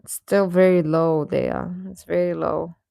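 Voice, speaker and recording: Unhurried, lower-pitched woman in casual livestream chat. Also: flat delivery, vocal fry, mellow, dry recording, clean studio sound. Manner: chatty, addresses viewers by name, often repeats phrases. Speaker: livestream host chatting with viewers.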